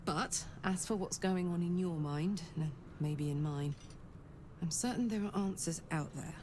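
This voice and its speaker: A woman playing a tough, warm-hearted barbarian warrior in a video game, plain-spoken, familiar and wry. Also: lowish voice, measured pace, slight background noise, close to an everyday voice. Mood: reassuring. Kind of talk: video game character dialogue. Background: game music.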